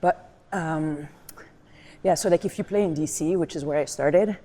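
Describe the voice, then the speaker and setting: A woman's voice: low-pitched, normal speed. Laughing woman telling stories in an echoey gym. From Colombia. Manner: animated storytelling, laughs often. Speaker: guest, former rugby player. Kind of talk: casual chat during a gym workout. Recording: echoey gym with long reverb.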